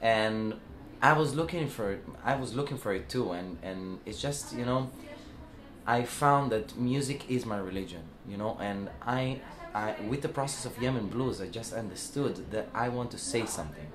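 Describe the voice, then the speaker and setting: A man's voice: fairly low pitch, moderate pace, echoey room. A man with an Israeli accent, a singer talking in a casual interview, hesitant with many fillers and restarts. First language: Hebrew. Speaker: singer and band founder. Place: music club after a show.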